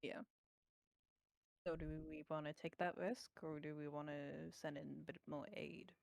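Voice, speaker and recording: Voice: medium pitch, flat delivery, measured pace, creaky, no echo, clean studio sound. A player in a tabletop roleplaying game, casually talking through plans with the group.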